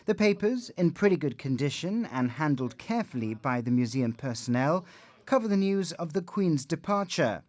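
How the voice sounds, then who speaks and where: A man's voice: on the high side, sing-song, moderate pace, darkish tone. A man narrating a scripted television news report as the reporter.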